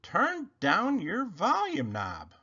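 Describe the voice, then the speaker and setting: An excitable little storybook rabbit, acted out by a man, fussy and easily exasperated. The voice is pushed up high and swings expressively from word to word. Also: slow pace, husky, highs cut off, heavily acted.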